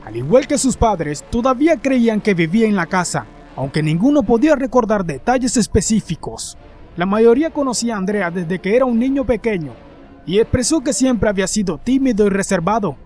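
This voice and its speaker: A man's voice: sits high, expressive, brisk, a little mellow. A man narrating a scripted, dramatic story. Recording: faint music behind. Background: background music.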